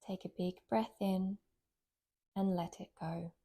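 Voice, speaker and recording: A woman guides a relaxation practice at a very slow pace. Her voice is warm and mellow, with a medium pitch. She speaks gently and soothingly, leaving long, unhurried pauses between phrases. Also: fairly even intonation, creaky, breathy edge. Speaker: meditation guide, yoga nidra teacher.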